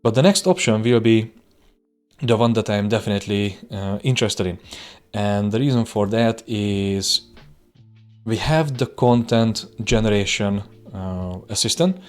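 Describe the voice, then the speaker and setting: Guy doing a screen tutorial, lowish voice, lots of uh's, soft music behind. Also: unhurried. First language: Hungarian. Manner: relaxed, hesitant, frequent "uh" fillers and restarts. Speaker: web design instructor. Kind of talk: screen-recording software tutorial.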